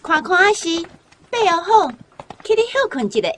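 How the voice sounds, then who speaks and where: A woman's voice: extremely high pitch, sing-song, normal speed, a bit bright, slight background noise, heavily acted. A woman with a Taiwanese accent playing a bossy, meddling boss's wife who orders others about in a radio drama. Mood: brisk, bossy.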